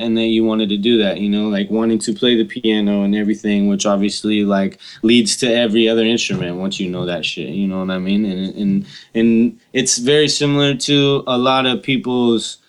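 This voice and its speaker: A man's voice: fairly low pitch, fairly even intonation, moderate pace, few pauses, smooth. A man co-hosting a casual interview, talking in loose slang and often checking in with "you know what I'm saying.". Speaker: podcast co-host.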